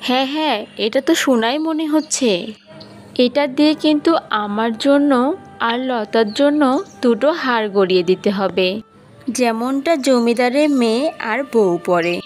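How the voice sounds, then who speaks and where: Woman voicing a high-pitched coaxing village wife. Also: moderate pace, faint music behind, natural voice. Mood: eager. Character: anxious, practical village wife and mother. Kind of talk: cartoon story dubbing.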